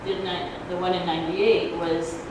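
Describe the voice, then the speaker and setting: A soft-voiced woman giving an informal explanatory talk at a public forum, speaking in a halting, hesitant way. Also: on the low side, little pitch movement. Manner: halting, hesitant, loses her thread. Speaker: ballot measure proponent, debate speaker. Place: community grange hall. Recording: echoey hall, distant noisy microphone.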